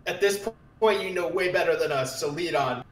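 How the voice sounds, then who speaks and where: A man's voice: on the high side, fairly quick, a crowd in the background. Man player in a very echoey room. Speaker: player in a tabletop roleplaying game. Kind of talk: casual, hesitant table talk. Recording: roomy, echoey sound.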